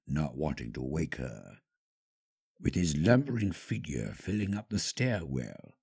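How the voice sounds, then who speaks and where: Very deep, gravelly male story narrator. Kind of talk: scripted dramatic story narration.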